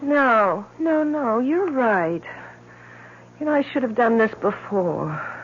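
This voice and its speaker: A woman's voice: a little high, expressive, slow pace, slightly rough, exaggerated voice. An actress playing an anxious, stubborn young woman with an American accent in an old-time radio mystery, earnest and hesitant. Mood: earnest, insistent. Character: anxious, stubborn young woman probing her sister's death. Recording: dull, hissy old radio broadcast.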